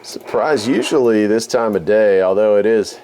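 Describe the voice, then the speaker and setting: A man's voice: fairly low pitch, normal speed, background noise. A man hosting a hobby video in a casual, folksy way, musing aloud as he demonstrates equipment. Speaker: radio hobby video host. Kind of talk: casual hands-on demonstration chat.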